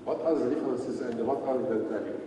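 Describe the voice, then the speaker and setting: A man in the audience asking a lecturer a question, halting with many fillers and restarts. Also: mid-range voice. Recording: echoey hall, distant mic, background noise.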